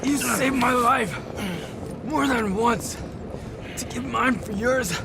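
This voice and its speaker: A man's voice: very high-pitched, takes it slowly, vocal fry, noisy background, full character voice. An actor playing a proud, earnest young male warrior, solemn and insistent, in a dramatic video game. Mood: solemn, grateful.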